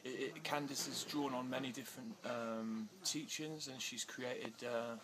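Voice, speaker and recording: A man's voice: mid-range voice, fairly even intonation, unhurried, fairly bright tone, slight background noise, poor audio. A man answering interview questions with many fillers and restarts, growing agitated as he talks. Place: outdoors in India.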